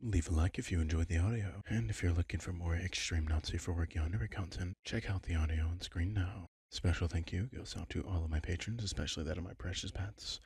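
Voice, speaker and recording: Low, husky man reading an outro. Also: fairly quick, hardly any pauses, a little mellow, dry recording. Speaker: audio roleplay creator. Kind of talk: scripted channel outro.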